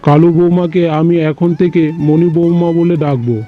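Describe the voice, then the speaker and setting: A man's voice: a little high, fairly even intonation, moderate pace, close to an everyday voice. A man voicing an elderly, moralizing father-in-law in a cartoon moral story. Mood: solemn. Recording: dull lo-fi audio, faint music behind.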